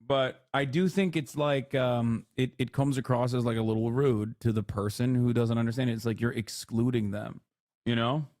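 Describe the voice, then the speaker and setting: Streamer type: man from Turkey with an American accent, blunt and animated, swears casually, dry close sound. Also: medium pitch, moderate pace, no room sound. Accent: American English. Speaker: livestream host. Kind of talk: casual live-stream chat, reacting to chat.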